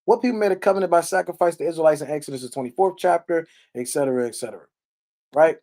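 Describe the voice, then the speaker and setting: Guy doing informal bible study preaching, kinda high voice, blunt n salty, keeps asking right?. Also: fast talker, dark tone. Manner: emphatic, checks in with rhetorical questions and tags like "right" and "man". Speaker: Bible teacher on a live stream. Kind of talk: informal sermon, verse-by-verse read-along commentary.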